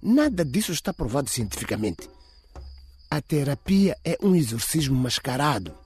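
A man's voice: on the high side, sing-song, moderate pace, a little mellow, pitched above the performer's own voice. A man voicing a frustrated, defiant young daughter in a radio drama.

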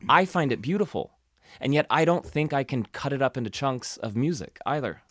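A man's voice: mid-range voice, animated, a little fast, a bit bright, no room sound, studio quality. A man co-hosting a podcast, asking casual interview questions in a chatty, joking, self-deprecating way. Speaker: podcast co-host.

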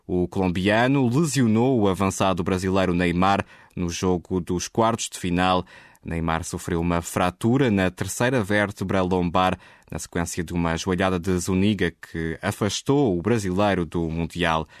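A man reading scripted radio news in a steady, measured way. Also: sits low, no echo, clean studio sound. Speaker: newsreader.

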